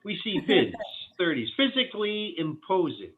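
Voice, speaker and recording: A man's voice: sits high, big pitch swings, moderate pace, husky, phone-quality sound. A man narrating the stage directions of a screenplay at a table read.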